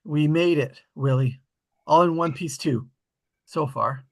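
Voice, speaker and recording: Hopeful kid adventurer, male reader: slow, hushed, sincere, slightly high-pitched, table-read delivery. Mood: reverent. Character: earnest boy adventurer, reverent and hopeful. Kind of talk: table read of a film script.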